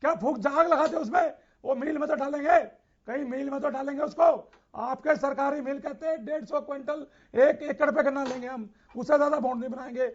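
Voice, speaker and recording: Male debate panelist, very high strained-up pitch, challenging and repetitive, muffled lo-fi sound. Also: a little fast. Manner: challenging, repeats questions. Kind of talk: combative political debate. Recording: dull, lo-fi broadcast audio.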